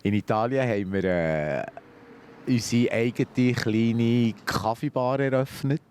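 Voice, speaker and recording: A man's voice: mid-range voice, takes it slowly, darkish tone, smooth. A man from Switzerland with a Swiss German accent, a café owner answering interview questions in a cheerful, chatty way. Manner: cheerful, chatty, laughs easily.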